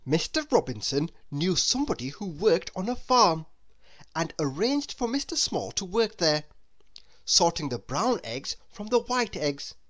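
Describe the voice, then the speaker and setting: A storyteller reading a children's book aloud in an expressive, measured manner. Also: mid-range voice. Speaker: storybook narrator. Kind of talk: children's read-aloud narration.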